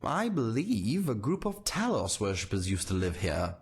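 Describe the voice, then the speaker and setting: A man's voice: on the low side, wildly swooping pitch, normal speed, slightly rough, heavily acted. An actor playing a haughty, reclusive male painter who talks in a pompous, aloof manner. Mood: lecturing. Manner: pompous, aloof, dry disdain.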